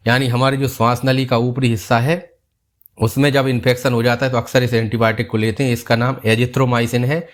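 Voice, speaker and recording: A man, a doctor calmly explaining a health topic step by step in an online video. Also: mid-range voice, a little fast. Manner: calm, steady explaining, repeats key points.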